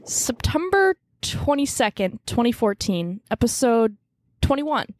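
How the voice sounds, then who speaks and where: A woman's voice: on the high side, very animated, unhurried, a little mellow, no room sound. A woman playing a blunt but naive and eager investigator in a comedic radio drama, speaking with earnest emphasis. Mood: urgent, businesslike.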